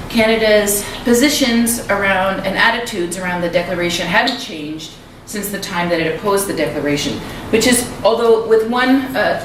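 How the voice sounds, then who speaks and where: Woman giving a talk in a big echoey hall, far off the mic, noisy, lots of ums. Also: lowish voice. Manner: conversational, with frequent ums and likes. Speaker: lecturer. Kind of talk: public lecture. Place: lecture hall.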